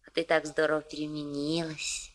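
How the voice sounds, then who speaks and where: An actress playing a warm, excitable young working woman in a Soviet radio drama, chatting in a colloquial, gushing way. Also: deep voice, moderate pace, natural voice. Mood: frank, chatty.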